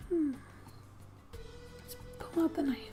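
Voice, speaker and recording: A woman speaking softly and breathily in gentle ASMR style, often slipping into a whisper as she reads a game story aloud with casual asides. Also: high-pitched, fairly even intonation, slow. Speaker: gaming ASMR creator. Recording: quiet background music. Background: soft music.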